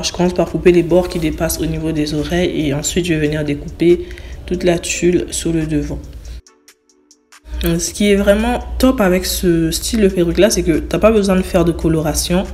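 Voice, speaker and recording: A woman's voice: fairly low pitch, normal speed, faint music behind. Young woman with a cold, narrating. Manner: relaxed, step-by-step explaining. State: has a cold. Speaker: beauty YouTuber. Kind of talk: tutorial voice-over.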